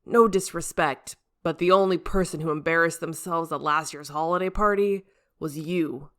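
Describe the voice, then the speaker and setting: A woman's voice: low-pitched, steady tone, normal speed, a bit bright. A woman voicing a laid-back, teasing male firefighter in an audiobook, with a dry and blunt manner.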